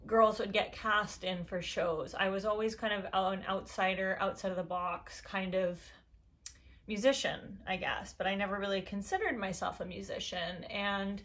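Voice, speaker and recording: A young woman with a low voice talks straight to her camera in a cluttered, colorful room. Her tone is earnest and encouraging, and her thoughts wander as she goes. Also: steady tone, normal speed, a bit bright. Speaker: YouTube creator. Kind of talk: casual talk to camera. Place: decorated bedroom indoors.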